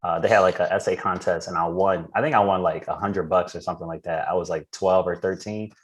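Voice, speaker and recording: A man's voice: low voice, steady tone, fast, slightly rough. A man chatting casually as a panelist, in a slangy, profane way. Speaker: talk show panelist. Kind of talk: casual chat.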